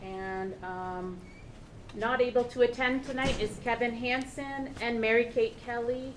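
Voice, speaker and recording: A woman's voice: fairly high pitch, fairly even intonation, unhurried, noisy background. Woman chairing a public meeting. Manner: friendly, procedural, with fillers.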